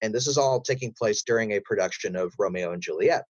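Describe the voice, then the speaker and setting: A man's voice: fairly low pitch, fast talker, no room sound, muffled recording. A man who works as a voice actor, talking casually as a guest in an interview.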